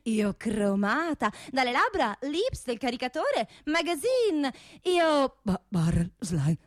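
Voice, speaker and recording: A woman's voice: sits high, a bit bright, no echo, studio quality, voice pushed up, heavily acted. A woman voicing a proud, seductive female pistol that recites its own parts like an incantation in a theatre monologue. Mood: chanting.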